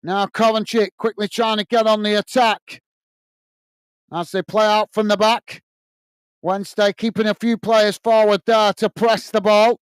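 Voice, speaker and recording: High-voiced British man calling a match. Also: a little slow, clear, resonant tone, no room sound. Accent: British English. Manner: steady running commentary, talks to viewers as guys. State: voice tired and going hoarse. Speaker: fan channel match commentator. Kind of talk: live watch-along play-by-play commentary.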